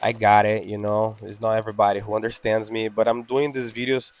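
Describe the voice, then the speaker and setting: A man whose first language is Portuguese, speaking English with an accent as he talks over his window cleaning video, halting and full of fillers. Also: on the low side, level tone. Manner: halting, many fillers and restarts. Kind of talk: casual talk-over.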